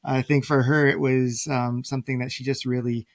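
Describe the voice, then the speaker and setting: A man's voice: mid-range voice, little pitch movement, normal speed, dry recording. A man hosting a podcast, speaking in a casual conversational way with an appreciative, complimentary manner. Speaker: podcast host.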